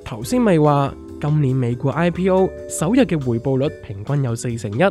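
A man's voice: medium pitch, expressive, normal speed, over music, close to an everyday voice. A man playing a wry, sceptical big-guy pal in a comic radio drama, exasperated and exaggerated, with a Hong Kong Cantonese accent. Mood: worried.

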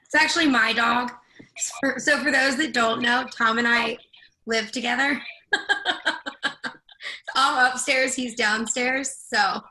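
A woman, an actress, casually discussing a reading in a halting, searching way. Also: fairly high pitch, steady tone, bright, forceful tone, poor audio. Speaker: actress in readers theatre.

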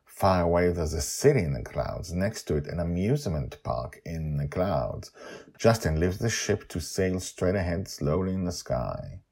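Guy playing grandpa telling a fantasy story, deep raspy voice, measured and wistful. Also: lively intonation, darkish tone, heavily acted. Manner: measured, simple present-tense storytelling. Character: wistful forgetful grandfather narrating a fantasy adventure.

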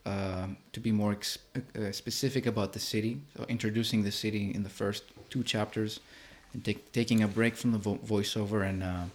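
A man from Greece explaining his work in an interview, hesitant and halting, often stumbling over words. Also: on the low side, fairly even intonation, slight background noise. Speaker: interviewed artist and filmmaker.

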